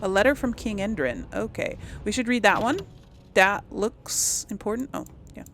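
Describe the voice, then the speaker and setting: A woman's voice: mid-range voice, lively intonation, normal speed, creaky, fairly bright tone, slight background noise. A woman giving casual, rambling commentary as she plays a video game, thinking aloud to her viewers. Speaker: gamer hosting a role-play let's play.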